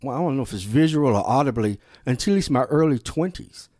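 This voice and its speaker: A man hosting a podcast in an animated, affirming way, reflecting on his own past. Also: medium pitch, quick pace, no echo, clean studio sound. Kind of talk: podcast conversation.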